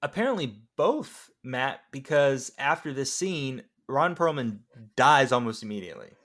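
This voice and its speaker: A man's voice: mid-range voice, very animated, normal speed, fairly bright tone. A man chatting casually about films, animatedly retelling scenes, acting out lines and swearing freely. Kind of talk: casual movie discussion podcast chat.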